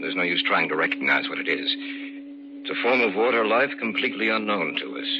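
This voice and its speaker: A man's voice: low-pitched, expressive, a little fast. An actor playing an intense, troubled male research scientist in an old-time radio horror drama, emphatic and insistent. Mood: serious, intense. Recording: dull, faintly noisy vintage radio recording.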